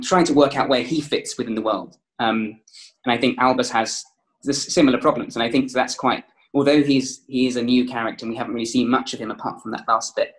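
A man's voice: medium pitch, rough recording. A young man, a stage actor, answering thoughtfully and hesitantly on a video call. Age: young adult. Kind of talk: casual panel interview chat. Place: small room at home.

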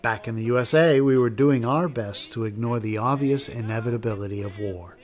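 A man's voice: medium pitch, fairly quick. A man giving scripted, wry historical narration as a documentary host.

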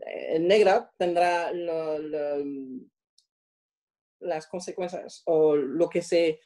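Mellow, halting French-accented woman. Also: on the low side, fairly even intonation. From France. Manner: halting, searching for words. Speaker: interviewed guest. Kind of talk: reflective interview answers.

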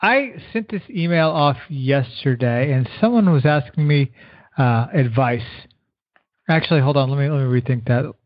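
A middle-aged man, a keynote speaker guest giving earnest motivational advice, repeating words and slowing down to stress his points. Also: mid-range voice, no room sound. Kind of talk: motivational interview answers. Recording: dull lo-fi radio sound.

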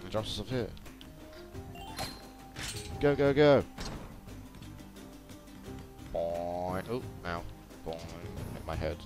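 A deep-voiced man chats casually through a video game he is hosting. He is playful, tossing out exclamations and vocal sound effects, with game music playing strongly behind him. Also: lively intonation, takes it slowly. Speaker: gaming video host. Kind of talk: casual let's-play commentary.

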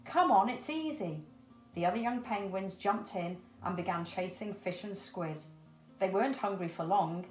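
A woman's voice: fairly low pitch, normal speed, a little mellow, faint music behind. Middle-aged woman reading a story, echoey room. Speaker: storybook reader. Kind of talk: expressive children's story reading. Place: indoors, small room with cupboards. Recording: roomy echo.

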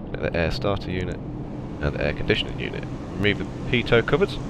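Low raspy man with a cold. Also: fast talker, heavy background noise. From England. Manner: relaxed, chatty, many ums and okays. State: has a cold, slightly raspy. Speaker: flight simulator player. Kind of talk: casual narrated cockpit walkthrough.

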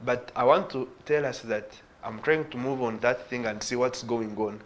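A man's voice: mid-range voice, fairly even intonation, moderate pace, a little hiss or hum. Earnest young Ugandan man on a panel. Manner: earnest, illustrates points with hand gestures. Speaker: studio guest, youth organisation director. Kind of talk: TV studio panel discussion. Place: TV studio. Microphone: lapel mic.

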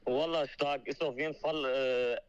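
A man's voice: mid-range voice, fairly even intonation, unhurried, smooth. A man on a phone call, chatting casually in street slang sprinkled with Arabic words. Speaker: phone caller. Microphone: phone call played into a stream. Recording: dull, band-limited phone call.

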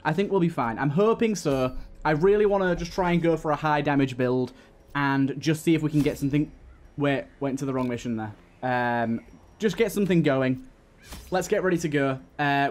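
A man's voice: mid-range voice, darkish tone. A man hosting a gaming channel, giving casual let's play commentary.

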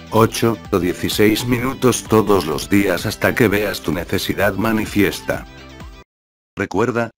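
A man reading out a scripted narration for an online video channel. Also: lowish voice. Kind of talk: scripted narration, read aloud. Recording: music bed behind the voice. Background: background music.

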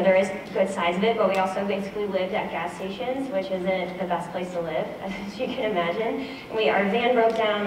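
A woman's voice: mid-range voice, a little hiss or hum. A woman in her twenties giving a candid, earnest talk from a large auditorium stage on a headset mic, with frequent ums and restarts. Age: 20s. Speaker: speaker. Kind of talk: conference talk. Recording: echoey hall, heard from far off.

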